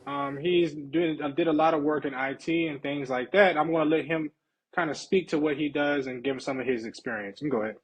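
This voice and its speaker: A man's voice: fairly high pitch, fairly even intonation, a little fast, husky, poor audio. A man hosting a podcast, casually putting short, colloquial questions and acknowledgements to his guest. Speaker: podcast host. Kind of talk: casual interviewing.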